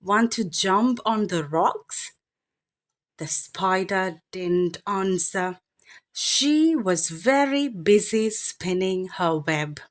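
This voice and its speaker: A woman reading a children's picture book aloud in an expressive, deliberate bedtime storytelling manner. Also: medium pitch. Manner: expressive, deliberate phrasing with animal sound imitations.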